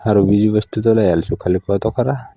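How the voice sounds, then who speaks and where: A man's voice: lowish voice, steady tone, fast, warm tone, no room sound. A man with a Gujarati accent playing a hard-up village lover who stalls, placates and bargains in a casual phone conversation. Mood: pleading.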